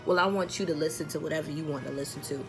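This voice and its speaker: A woman chatting casually as a vlogger, telling a personal story in an expressive, exasperated, sweary and comedic way. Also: deep voice, racing, over music.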